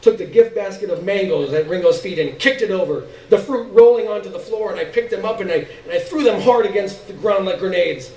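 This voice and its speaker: Male literary reader, slightly high mellow voice, dramatic pauses, recorded at a distance on a roomy stage. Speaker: story reader. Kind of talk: expressive live literary reading. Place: live stage reading indoors.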